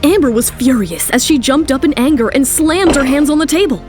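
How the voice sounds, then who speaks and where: A woman's voice: high-pitched, lively intonation, a little fast, music in the background. A woman narrator giving a scripted, dramatic telling of a story.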